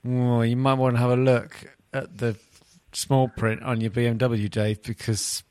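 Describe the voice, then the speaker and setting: A man's voice: medium pitch, little pitch movement, a little slow, darkish tone, clean tone, no room sound. A man hosting a podcast in casual comic banter, teasing his co-hosts with mock bafflement. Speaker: podcast host.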